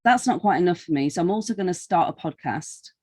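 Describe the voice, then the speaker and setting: A woman's voice: lowish voice, lively intonation, fast, a little mellow, slightly rough. A woman interviewing a podcast guest in a casual, conversational style with an informal, teasing manner.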